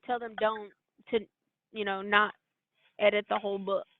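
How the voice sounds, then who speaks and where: A woman's voice: medium pitch, level tone, normal speed, no echo. A woman giving an informal, step-by-step walkthrough as a trainer on a conference call. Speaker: trainer explaining software steps. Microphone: conference call line. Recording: narrow, tinny conference-call line.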